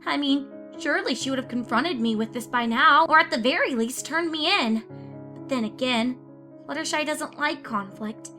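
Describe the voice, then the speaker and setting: Paranoid young female pony, very high-pitched, sharp bright tone, anguished, volatile, fan-fiction confession reading, soft music behind. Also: normal speed. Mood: nervous, composed. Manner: anguished, highly emotional, swinging from hushed to screaming. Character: guilt-ridden, paranoid young female pony student unraveling into madness.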